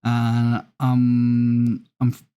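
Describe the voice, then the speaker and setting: Man, Scandinavian accent with traces of Irish, slow drawl, hesitant, thirties, gameplay commentary. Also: medium pitch, monotone, mellow, clear, resonant tone. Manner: hesitant, many ums and restarts, unhurried. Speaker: gaming YouTuber.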